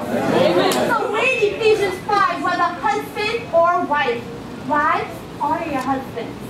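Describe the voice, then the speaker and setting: A woman reciting rhymed spoken word poetry in a church, with an emphatic, rhythmic, preaching delivery. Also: extremely high pitch. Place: church, live before a congregation. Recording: echoey room from far off, noisy.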